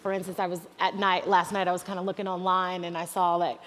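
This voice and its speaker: A woman's voice: on the low side, little pitch movement, fast talker. A woman moderating a live panel discussion, conversational and affirming, asking follow-up questions. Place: conference hall. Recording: hall reverb with faint noise.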